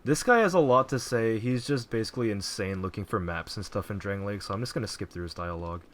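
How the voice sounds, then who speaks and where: A man quietly narrating his video game playthrough in a low voice, rambling casually with fillers. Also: fast, a little hiss or hum. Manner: relaxed, rambling, frequent fillers. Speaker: let's play gamer. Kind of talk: casual gameplay commentary.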